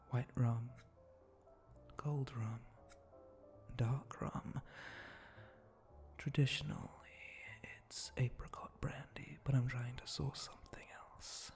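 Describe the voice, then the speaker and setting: Man voicing a breathy, flirty spider-monster bartender. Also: mid-range voice. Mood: playful, musing. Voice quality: breathy, often dropping to a whisper. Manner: slow, seductive, teasing drawl with soft chuckles. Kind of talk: intimate ASMR roleplay.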